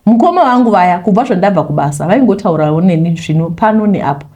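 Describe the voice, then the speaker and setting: Zimbabwean lady reading out a confession story, talks quick, warm dark tone, chatty with bits of english mixed in. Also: lowish voice, lively intonation. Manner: conversational, mixes in English phrases. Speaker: YouTuber reading a listener's confession. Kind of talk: first-person confessional storytelling.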